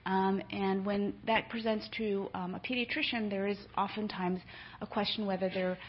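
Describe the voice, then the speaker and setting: A woman's voice: medium pitch, steady tone, normal speed, a little hiss or hum. A middle-aged woman, a nurse, giving a conversational conference talk to families, with many filler ums. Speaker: nurse speaker. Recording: dull lo-fi lecture recording.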